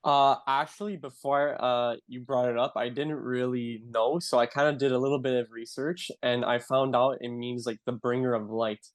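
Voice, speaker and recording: A young adult man from Canada with a Canadian accent, a guest in a casual interview, talking in a relaxed way and saying "like" often. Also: medium pitch, normal speed, few pauses. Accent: Canadian English. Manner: relaxed, filler-heavy, says "like" often.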